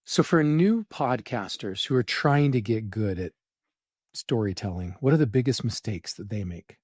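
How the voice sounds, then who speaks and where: A man's voice: on the low side, animated, moderate pace, darkish tone, slightly rough, dry recording, studio quality. A man hosting a podcast interview, asking questions and encouraging his guest. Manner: affirming, encouraging. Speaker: podcast host.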